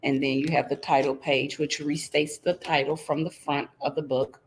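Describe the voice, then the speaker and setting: A woman's voice: low-pitched, flat delivery, moderate pace, a little hiss or hum, poor audio. A middle-aged woman reading a picture book aloud to young children, teacherly and interactive, checking in with her listeners. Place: indoors in front of a green screen.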